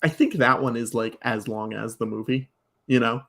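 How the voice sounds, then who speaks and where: A man's voice: mid-range voice, normal speed, gravelly, fairly bright tone. A man chatting casually as a podcast guest, with a wry, joking way of talking.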